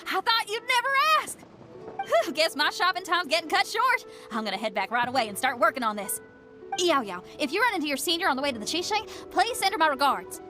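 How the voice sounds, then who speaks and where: A woman playing an upbeat, bold young rock musician in a video game, with a breezy, confident, energetic manner. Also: sits high, a little fast, over music. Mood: thrilled.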